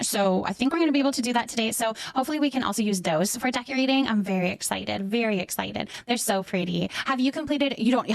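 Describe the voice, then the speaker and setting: A woman's voice: on the high side, no echo. A woman livestreamer chatting casually with her viewers, effusive and affectionate, often repeating words and short phrases as she thanks them. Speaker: live streamer hosting a chat.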